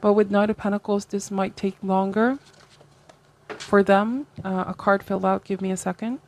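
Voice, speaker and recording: Female tarot host, mid-pitched voice, conversational and reflective, offering advice straight to the viewer. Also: level tone, moderate pace, a little mellow. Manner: reflective, advisory, seeks agreement with tags like okay and right.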